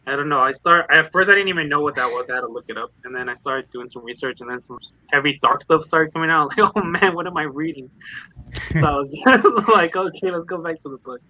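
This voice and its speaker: A man's voice: a little high, fast, bright, forceful tone. A man co-hosting a casual book chat, rambling and acting out his own reactions as quotes. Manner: rambling, self-quoting. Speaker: readalong co-host. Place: online group call. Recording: dull, band-limited call audio.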